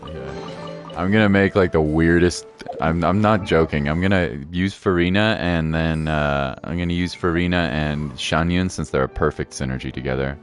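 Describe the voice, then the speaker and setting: Man, low voice, game streamer, casual rambling chat, slangy, jokey, faint game music behind. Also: normal speed. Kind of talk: casual livestream chat over gameplay.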